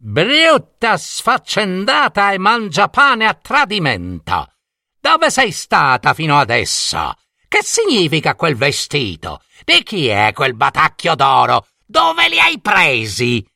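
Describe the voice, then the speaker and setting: A man voicing older female storybook figures in a shrill, emphatic manner. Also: big pitch swings, slow pace, bright, forceful tone, no echo, clean studio sound, full character voice. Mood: furious. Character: scolding fox mother and a furious stepmother.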